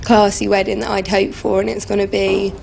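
A woman's voice: medium pitch, little pitch movement, brisk, vocal fry, noisy background. A British woman in her twenties, talking candidly as a bride on a reality show. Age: 20s. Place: indoors, bridal shop.

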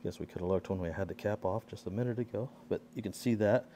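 A man with a fairly low voice walks through a build in his workshop, talking casually as he films on a handheld phone. He explains each part plainly and hands-on, like a builder showing a customer around. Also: quick pace, very noisy. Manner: explaining hands-on while filming himself. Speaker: pressure washing skid builder. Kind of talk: casual walkaround tour.

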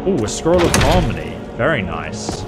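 Excitable man giving gameplay commentary. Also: medium pitch, normal speed. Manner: excitable, exclaiming and role-playing in combat. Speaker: gaming YouTuber. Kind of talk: let's-play commentary. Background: loud game music.

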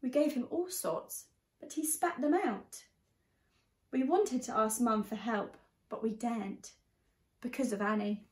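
A young woman reading a picture book aloud to young children in an expressive, teacherly way. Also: on the high side, a little slow. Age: young adult. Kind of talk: storybook read-aloud. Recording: echoey room sound.

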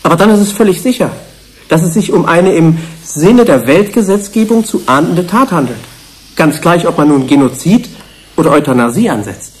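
Actor as an earnest, higher-voiced male scientist. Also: lively intonation, natural voice. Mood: grave, insistent. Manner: deliberate, moralizing. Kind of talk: German radio play acting.

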